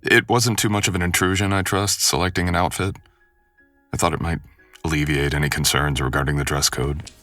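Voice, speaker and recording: A man's voice: low-pitched, steady tone, a little fast, pushed and bright, no echo, faint music behind. A man acting as a mysterious, possessive, suave wealthy estate host in a boyfriend ASMR roleplay, speaking in formal, courtly, old-fashioned phrases. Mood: suave, charming.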